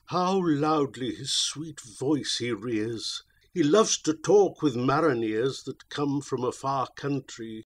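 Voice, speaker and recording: A man's voice: mid-range voice, lively intonation, takes it slowly. A man reading narrative verse aloud as a dramatic recitation. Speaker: poetry reader.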